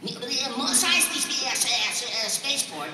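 A man's voice: very high voice, sing-song, slow pace, full character voice. A man playing a flustered old mentor as a heavily stuttering cartoon character in a live comic radio play. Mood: flustered stammering. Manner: heavy comic stutter, swapping words mid-stammer. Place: large convention hall, recorded from the audience. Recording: distant echoey hall, noisy crowd.

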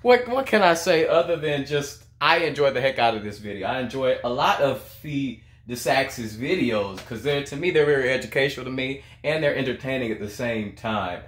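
A man's voice: medium pitch, expressive, moderate pace, few pauses, a little hiss or hum. A young man giving casual, emphatic commentary on music, often repeating words and phrases for effect. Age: young adult. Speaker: reaction video host, singer. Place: small room at home, indoors. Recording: echoey room.

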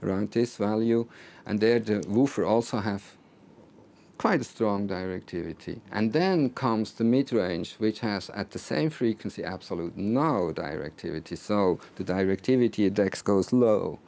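A man in his sixties presenting technical material to a small group, phrasing deliberately with repeated words and hesitations. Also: fairly low pitch, gravelly, a little mellow. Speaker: loudspeaker designer presenting. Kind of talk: technical talk to a small audience. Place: small recording studio control room with seated audience.

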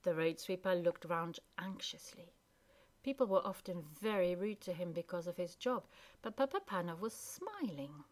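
A woman storyteller reading a storybook aloud in an expressive narrating style. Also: on the low side, normal speed.

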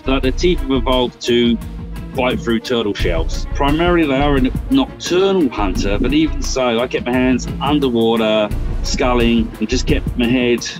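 An older man telling a sailing story in a lively, casual way, swearing and acting out his own reactions, recorded over a video call. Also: medium pitch, moderate pace, music in the background. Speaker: guest sailor. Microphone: video call webcam. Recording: dull lo-fi video call audio.

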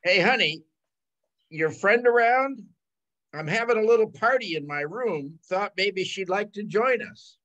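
A man's voice: high voice, lively intonation, a little slow, a bit bright, dull audio. An older man playing a brash, hard-drinking traveling salesman who fancies himself a ladies' man, in an old-time radio drama. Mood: flirtatious. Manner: brash, slangy wisecracking. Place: bedroom at home. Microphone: video call.

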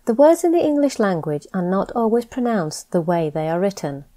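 A woman English teacher reading scripted pronunciation practice with deliberate, careful enunciation. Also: mid-range voice, big pitch swings, mellow. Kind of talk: scripted lesson narration, reading words for practice.

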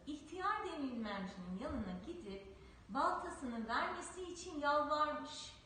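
A woman's voice: high-pitched, distant mic, a little hiss or hum. Woman telling a children's tale. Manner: slow, expressive storyteller. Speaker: preschool teacher. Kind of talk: reading a folk tale aloud to children. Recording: very echoey room, voice off-mic.

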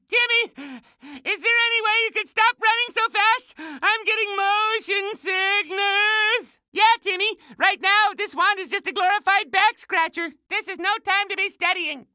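A man voicing a dim, childlike male cartoon fairy for a video game, nasal and squeaky, with a goofy, scatterbrained manner. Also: sharp tone, dry recording, studio quality, full character voice. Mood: whiny, wistful.

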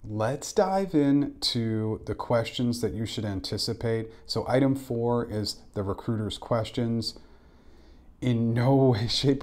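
A man's voice: on the low side, darkish tone. A man in his forties giving instructional advice to camera as an interview coach, direct and emphatic on key points. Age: 40s. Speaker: interview coach and former recruiter. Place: small indoor room.

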